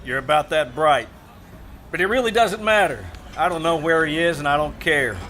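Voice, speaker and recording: An actor playing a wisecracking, world-weary private detective in a radio drama, with a wry, clipped, sardonic delivery. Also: male voice, a little high, animated, moderate pace, bright, forceful tone, a little hiss or hum. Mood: curt, sardonic.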